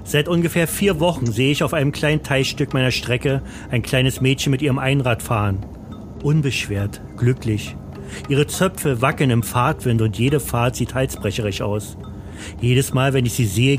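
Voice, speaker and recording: A man playing a dull, solitary accountant in a thriller audio drama, narrating in a measured, sombre way. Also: medium pitch, lightly acted. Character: dull, solitary male accountant, rigid and uneasy.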